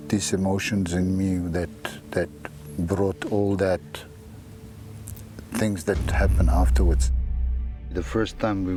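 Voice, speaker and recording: Halting older man. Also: low-pitched. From former Yugoslavia. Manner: halting, many restarts and repeated words. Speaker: interviewee. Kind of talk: documentary interview.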